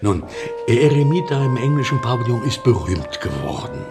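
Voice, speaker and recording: An actor playing a fussy, bookish, sentimental librarian in a radio play, with a pedantic, hesitant manner. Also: male voice, mid-range voice, sing-song, moderate pace. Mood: eager, hesitant. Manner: pedantic, hesitant, ornate wording. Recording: music behind the voice.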